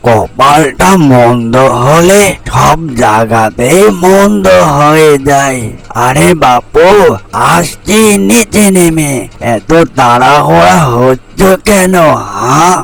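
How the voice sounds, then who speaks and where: A man voicing a weary, hungry crow and worried parent in a fairy-tale cartoon, gravelly, plaintive and grumbling. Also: a little high, expressive, slow pace, full character voice.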